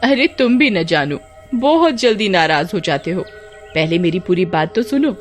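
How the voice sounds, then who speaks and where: A woman playing a teasing, easily frightened young village woman in animated horror story dialogue, exclamatory and dramatic. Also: fairly low pitch, normal speed, faint music behind, close to an everyday voice. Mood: coy, teasing.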